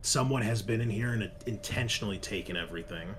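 A man narrating a tabletop roleplaying game as game master, improvising and explaining things in a halting way. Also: on the low side, background noise.

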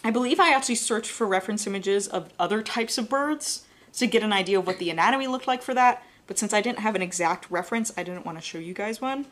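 A woman's voice: medium pitch, quick pace. Young woman with a Southern accent teaching drawing. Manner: relaxed, explains step by step while sketching, self-correcting. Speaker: art instructor. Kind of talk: live drawing demonstration. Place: studio with an overhead desk camera.

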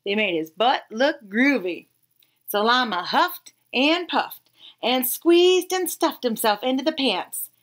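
A woman in her thirties reading a children's picture book aloud expressively, with dramatic pauses and emphasis. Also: sits high, animated. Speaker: read-aloud storyteller.

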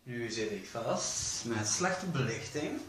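A man's voice: medium pitch, sing-song, takes it slowly. A young man, rambling playfully with many fillers while filming himself for his vlog on a handheld camera. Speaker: vlogger. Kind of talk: casual vlog chat. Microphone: handheld camera at arm's length. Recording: noisy handheld camera audio.

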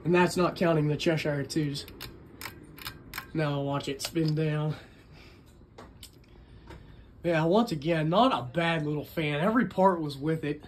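A man with a fairly high voice narrates a homemade hobby video. He rambles along in a casual, chatty way, showing off his collection with an enthusiast's keenness. The delivery is unscripted and conversational. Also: measured pace, long pauses, a little hiss or hum. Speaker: vlogger, ceiling fan collector.

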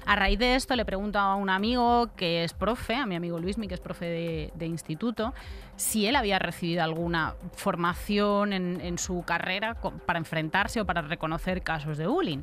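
A woman's voice: on the low side, moderate pace, fairly bright tone, faint music behind. A middle-aged woman with a Castilian accent from Spain, commenting on the news in an informal, colloquial way as a podcast co-host. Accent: Castilian Spanish from Spain. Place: radio-style podcast studio. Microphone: close studio microphone on desk.

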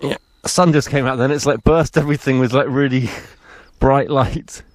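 A man's voice: mid-range voice, steady tone, moderate pace. A man giving casual commentary as he explores a flooded underground mine tunnel, talking freely and laughing easily. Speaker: channel host exploring a mine.

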